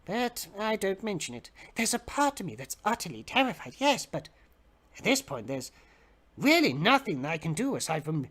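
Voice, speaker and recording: A man giving a theatrical, expressive dramatic reading of fiction as the narrator. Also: sits high, vocal fry. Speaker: dramatic reader.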